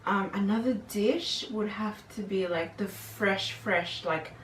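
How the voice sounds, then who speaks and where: A woman's voice: mid-range voice, slow, a bit bright. A young woman appearing as a guest, chatting casually and explaining things at length. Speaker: guest, older sister. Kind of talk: casual chat. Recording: roomy, distant mic, faint noise.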